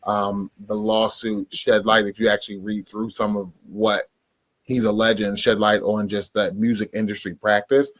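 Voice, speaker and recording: A man's voice: lowish voice, level tone, normal speed, a bit bright, dry recording. A man on a debate panel defending his opinion casually, adding careful qualifications as he goes. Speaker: panelist on a hip-hop debate show.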